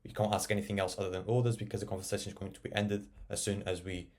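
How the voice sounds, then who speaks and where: A man narrating a casual software tutorial, explaining and thinking aloud as he works. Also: fairly low pitch, flat delivery, racing. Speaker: tech tutorial presenter.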